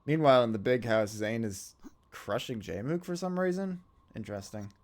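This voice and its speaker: A man's voice: medium pitch, lively intonation, normal speed, darkish tone. A man doing casual esports commentary, laid-back, laughing often and swearing casually.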